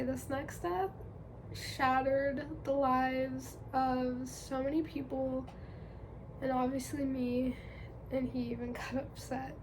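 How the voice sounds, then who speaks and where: Young woman talking slow and a bit stuffy from a cold, high voice, chatty and rambly. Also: background noise. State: congested from a cold. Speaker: vlogger. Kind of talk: casual chat to camera.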